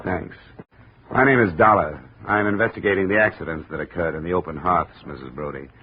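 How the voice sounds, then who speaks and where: An actor playing a hard-boiled investigator who narrates and talks in a dry, wry way in a 1950s radio drama. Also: male voice, low voice, brisk. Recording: dull old radio broadcast with hiss.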